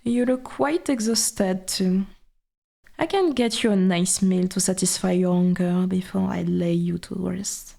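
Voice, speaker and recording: A woman's voice: fairly low pitch, unhurried, vocal fry, mellow. A woman playing an ancient, haughty and possessive forest god in an ASMR audio roleplay, speaking in formal, archaic, condescending phrases. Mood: gently caring.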